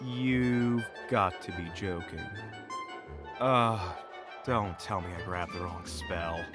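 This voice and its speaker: A man's voice: fairly low pitch, slow pace, loud music behind the voice. A man playing an arrogant, impatient villain wizard with a smug, theatrical manner in an audio roleplay. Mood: exasperated, defensive.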